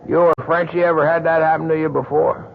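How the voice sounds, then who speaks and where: An actor playing an earnest, plain-spoken young lumberjack with a rural American accent in an old-time radio drama. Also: male voice, fairly high pitch, level tone, quick pace, gravelly. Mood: suspicious, determined. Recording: tinny, hissy old radio recording.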